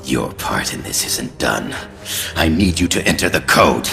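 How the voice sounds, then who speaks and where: An actor playing a smug, scheming middle-aged Soviet spymaster, speaking theatrically in a dramatic video game. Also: male voice, rumbling low, sing-song, measured pace, creaky, loud music behind the voice, exaggerated voice. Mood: cold.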